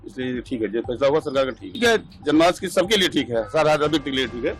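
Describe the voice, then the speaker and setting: Very fast-talking man. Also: mid-range voice, very animated. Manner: repetitive, choppy phrases. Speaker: interviewee giving an opinion. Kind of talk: unscripted vox-pop interview.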